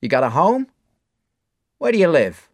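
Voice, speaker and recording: A man voicing a rough but kind bar owner who talks in a brash, streetwise way in a storybook reading. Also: a little high, big pitch swings, measured pace, pitched above the performer's own voice. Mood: gentle.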